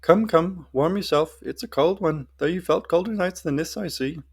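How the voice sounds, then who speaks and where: A man's voice: on the high side, normal speed, creaky, slightly rough, voice pushed up. A man voicing a tiny, wrinkled old goblin woman, sly, folksy and knowing, in a fantasy story. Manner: folksy, teasing and cryptic.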